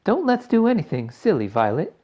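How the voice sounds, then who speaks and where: A performer voicing a fussy, panicky mother in a storybook, with shrill screeching exclamations. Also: huge pitch swings, fairly quick, dark tone.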